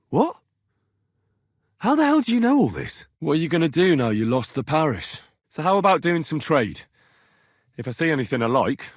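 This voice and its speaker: A man acting a young, plain-spoken medieval retainer in a video game, earnest and curious, with an expressive, conversational delivery. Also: mid-range voice, slightly rough, no echo, clean studio sound. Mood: startled, incredulous. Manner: conversational, expressive, questioning, folksy turns of phrase.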